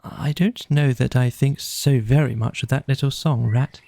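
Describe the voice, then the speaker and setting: A man's voice: medium pitch, steady tone, moderate pace, vocal fry, dark tone, no echo, close to an everyday voice. A man voicing a polite, candid little storybook mole with an earnest, cautious and agreeable manner. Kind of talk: storybook character voice in an audio play.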